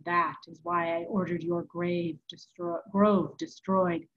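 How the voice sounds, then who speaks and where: A woman playing a grave, husky zealot abbot. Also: lowish voice, little pitch movement, lo-fi sound, voice pushed down. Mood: grave, menacing. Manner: earnest, grave, drawn-out. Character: solemn zealous medieval abbot, grave, earnest, threatening man.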